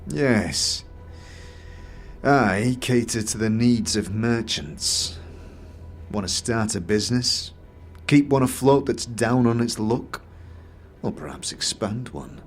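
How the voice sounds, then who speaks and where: A man voicing a disdainful, calculating moneylender in a fantasy game audio series, with a condescending, drawn-out and measured delivery. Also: mid-range voice, soft background music. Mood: businesslike. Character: disdainful, calculating male moneylender, smug and snobbish.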